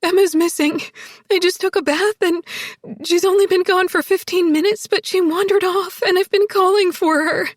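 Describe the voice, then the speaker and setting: A woman's voice: very high voice, fairly even intonation, moderate pace, dry recording, studio quality, pitched above the performer's own voice. A woman voicing a desperate, frightened woman in an audiobook, shaky and pleading, with breathless, apologetic delivery. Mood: panicked, tearful. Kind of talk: audiobook character dialogue.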